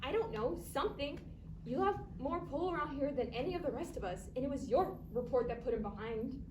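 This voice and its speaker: A woman's voice: sits high, expressive, brisk. A female drama student playing an upset, caring, reproachful office coworker in a staged reading. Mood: upset, pleading. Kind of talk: staged reader's theatre performance. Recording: distant echoey noisy live stage recording.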